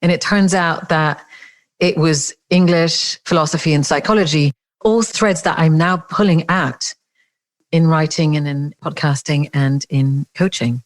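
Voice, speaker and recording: A woman's voice: low voice, fairly even intonation, unhurried. A woman hosting a podcast interview, gracious and enthusiastic as she welcomes and prompts her guest. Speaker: podcast host. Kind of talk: warm conversational interview.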